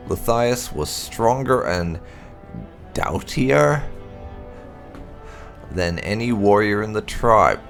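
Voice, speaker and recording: A man with a gravelly voice reading fantasy game lore aloud in a measured, solemn storytelling manner. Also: sits low, a bit bright. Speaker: gaming channel host.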